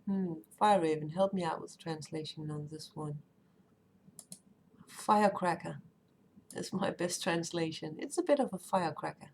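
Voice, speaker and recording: A woman with a Danish accent chatting casually on a live stream, often pausing with "um" and "uh" as she searches for words. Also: lowish voice, a little mellow, a little hiss or hum. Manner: hesitant, many ums and uhs while searching for words.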